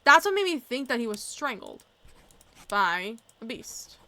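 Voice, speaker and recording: A woman's voice: on the high side, very animated, unhurried, pushed and bright. A young woman casually commenting as she plays a mystery game, thinking aloud and working through her guesses. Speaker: let's play streamer.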